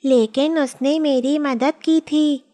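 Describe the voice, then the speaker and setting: A woman voicing a gentle, grateful magical hen in a children's cartoon story, speaking earnestly. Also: sits high, steady tone, takes it slowly. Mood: sad, resigned.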